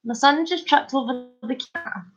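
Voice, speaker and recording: A Scottish woman talks casually on a live stream, her voice fairly high and a bit thick from a head cold. She chats warmly and greets viewers as she goes. The sound is dull and lo-fi, as from a phone. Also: moderate pace, faint music behind. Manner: chatty, warm greetings, chuckles. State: head cold, sore throat. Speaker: vlogger hosting a live stream.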